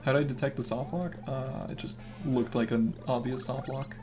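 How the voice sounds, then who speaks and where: A young man with a naturally hoarse voice, casually commenting on a game he plays while reading and answering his chat. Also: steady tone, moderate pace, music in the background. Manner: low-key, mumbling, encouraging. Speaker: game streamer.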